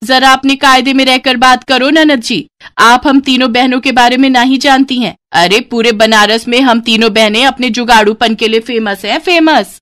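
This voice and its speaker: A woman's voice: fairly high pitch, moderate pace, fairly bright tone, voice pushed up, lightly acted. A woman voicing a brash, cheeky young village daughter-in-law in a folksy, exclamatory way with a rustic Banaras accent, for a story. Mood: boastful.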